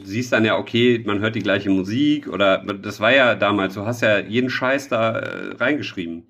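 A man's voice: on the low side, moderate pace, a bit bright. A man chatting casually as a podcast co-host, often searching for words and joking. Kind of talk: casual chat.

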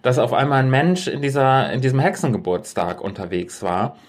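A man's voice: medium pitch, expressive, fairly quick. A man chatting casually and humorously as a podcast co-host, telling things in an earnest, wordy way.

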